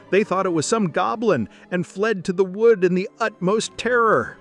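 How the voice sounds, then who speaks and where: A man reading scripted bedtime fairy-tale narration as a storyteller. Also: high-pitched.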